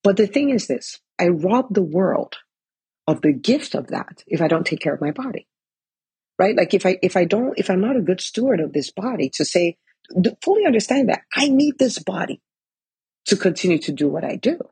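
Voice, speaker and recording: A woman's voice: medium pitch, sing-song, normal speed, a little mellow, slightly rough, no echo. A woman in her forties giving an earnest motivational talk, full of "right?" and "like". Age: 40s. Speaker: coach for physicians in business.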